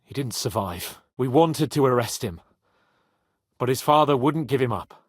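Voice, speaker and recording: Young medieval lord for a game, cocky n haughty, bit husky, really dry close sound. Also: male voice, medium pitch, normal speed, no echo. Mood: formal, deferential. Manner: haughty, expressive, swearing freely. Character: brash young medieval nobleman, proud, hot-headed, loyal. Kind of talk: dramatic video game voice acting.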